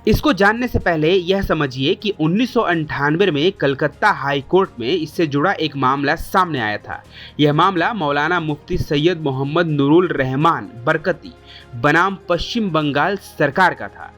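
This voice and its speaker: Higher-pitched man reading a news explainer. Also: normal speed, fairly bright tone, soft background music. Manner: formal, addresses viewers with rhetorical questions. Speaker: news presenter. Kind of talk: scripted news explainer narration.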